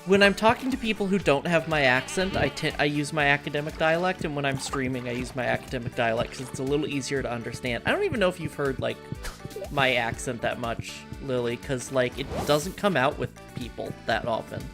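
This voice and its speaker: A woman's voice: a bass voice, lively intonation, a little fast. A woman streamer hosting a casual chat while gaming, frank and rambling, with casual swearing. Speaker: streamer and host. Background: game music.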